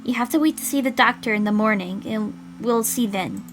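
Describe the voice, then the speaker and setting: Woman voicing a brisk, matter-of-fact hospital nurse. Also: on the high side, a little hiss or hum, lightly acted. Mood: chiding, concerned. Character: brisk, concerned hospital nurse in a visual novel.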